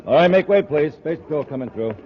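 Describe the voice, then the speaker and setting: An American actor playing a businesslike space patrol major with a clipped, official manner in 1950s radio drama. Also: male voice, a little high, expressive, quick pace, a little hiss or hum, lightly acted. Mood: official, firm. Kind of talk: 1950s radio adventure acting. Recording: tinny old radio recording.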